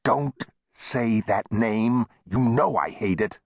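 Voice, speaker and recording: A man's voice: big pitch swings, normal speed, breathy edge, no room sound, studio quality, full character voice. A man voicing a touchy, gloomy and indignant plump storekeeper, complaining in a western audiobook. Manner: heated, complaining, raised pitch.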